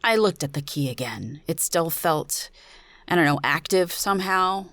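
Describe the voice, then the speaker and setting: Woman narrating a spooky story, lowish voice, close dry sound, lots of pauses. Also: expressive, fairly bright tone, no room sound. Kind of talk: dramatic first-person suspense story reading.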